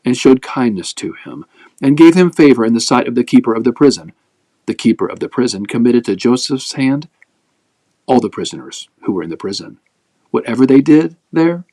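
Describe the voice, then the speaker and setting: A man's voice: medium pitch, expressive, moderate pace, dark tone, no echo. Man reading scripture aloud, dry sound. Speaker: host of a daily Bible reading.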